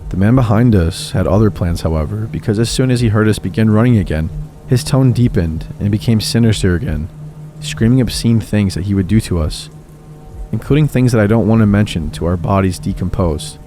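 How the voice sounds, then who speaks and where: A man narrating true horror stories in a steady, low-key scripted reading. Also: a little fast. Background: faint music.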